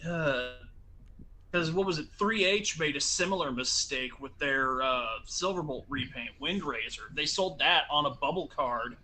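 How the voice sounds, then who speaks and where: A man's voice: on the high side, lively intonation, unhurried, sharp tone, rough recording. A man chatting casually and knowledgeably as a panelist, in a matter-of-fact, detail-minded way. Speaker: panelist on a collector show.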